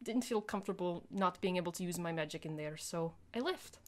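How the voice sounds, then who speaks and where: A woman's voice: fairly low pitch, a little fast. A woman player in a tabletop roleplaying game, chatting casually and partly in character.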